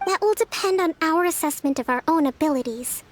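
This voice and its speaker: A woman playing a gentle, confident young female leader in a video game, speaking in a measured, articulate, formal yet friendly way. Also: very high voice, slight background noise. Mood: confident, encouraging. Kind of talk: video game character dialogue.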